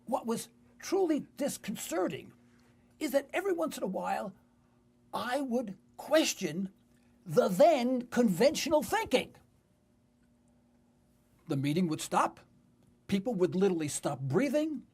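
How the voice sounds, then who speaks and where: A man's voice: very high-pitched, very animated, unhurried, creaky, pauses often, darkish tone, slightly rough. A man in his sixties with a New York accent, hosting talk radio in a conversational, storytelling monologue with emphatic phrasing. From New York City. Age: 60s.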